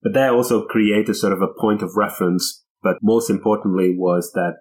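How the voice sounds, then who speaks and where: Middle-aged male interview guest from Angola, low-pitched voice, reflective conversational storytelling. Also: fairly even intonation, normal speed, darkish tone. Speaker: podcast guest.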